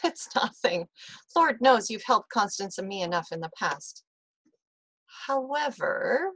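A woman playing a kindly, frank veteran nurse in a period radio mystery, reassuring and measured. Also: medium pitch, very animated, fairly bright tone, husky, no room sound, heavily acted. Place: indoor video call.

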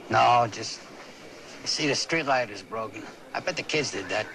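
An actor playing a folksy, distracted police detective in a 1970s TV crime drama, talking in a halting, informal ramble. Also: male voice, medium pitch, expressive, crowd noise, close to an everyday voice, poor audio. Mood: musing.

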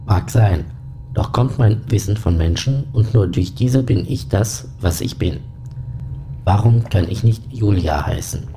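A polite, pedantic space-station computer voiced by a man speaks in a fairly low male voice. It is curious and precise, in a sci-fi audio play with clear noise around it. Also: unhurried, close to an everyday voice. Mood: earnest, persistent. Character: male ship's computer, polite, inquisitive, literal-minded. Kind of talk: scripted sci-fi audio drama.

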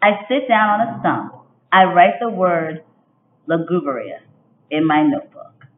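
A woman's voice: fairly low pitch, a little slow. Woman reading as a dramatic, moody little storybook girl. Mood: gloomy. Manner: expressive, emphatic storybook delivery. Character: moody, dramatic little girl telling her miserable day. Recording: dull, roomy home webcam recording.

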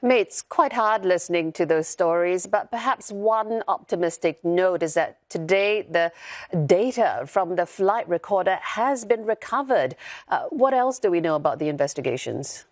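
A woman's voice: lowish voice, animated, moderate pace, dry recording. A woman news anchor presenting from a studio in a composed, scripted way.

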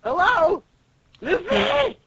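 A man voicing a cowardly young man in a comic, shrill, frantic and whiny. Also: sing-song, very slow, fairly bright tone, a little hiss or hum, exaggerated voice. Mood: frantic whining. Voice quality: shrill, squawking. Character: panicky, cowardly young man shrieking in disbelief. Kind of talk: amateur comic read-aloud. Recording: dull lo-fi voice recording.

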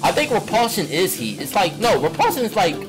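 High-pitched, animated young American man. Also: a little fast, low-quality recording. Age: young adult. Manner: animated, exclamatory, slangy. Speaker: reaction video host. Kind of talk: excited reaction commentary, casual slang. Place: small indoor room. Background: loud music from the video being watched.

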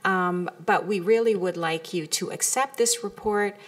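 A woman giving a measured, earnest prepared presentation at a council meeting. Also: mid-range voice. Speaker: community health group presenter. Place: council meeting room, indoors. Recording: distant meeting room microphone.

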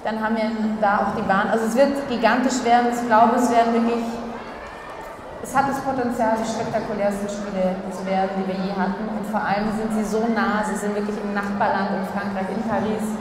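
A woman's voice: mid-range voice, a little fast. A woman in her thirties telling stories with enthusiasm as a guest on stage, into a handheld mic in a sports hall. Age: 30s. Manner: lively, enthusiastic storytelling. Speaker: athlete guest. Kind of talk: stage interview. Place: large sports hall, indoors. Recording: distant echoey hall with crowd noise.

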